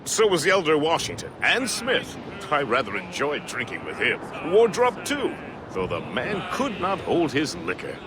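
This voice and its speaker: An actor playing a jovial, roguish, talkative first mate in a seafaring video game, with colorful old-fashioned speech. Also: male voice, on the high side, wildly swooping pitch, normal speed. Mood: wry, chatty. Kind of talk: dramatic video game acting. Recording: ship and waves noise.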